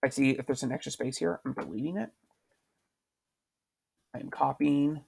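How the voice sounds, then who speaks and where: A man's voice: mid-range voice, normal speed, vocal fry, darkish tone, a little hiss or hum. A male teacher recording a lesson at his computer, talking patiently and repeating questions to prompt his students. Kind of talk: screen-recorded writing lesson.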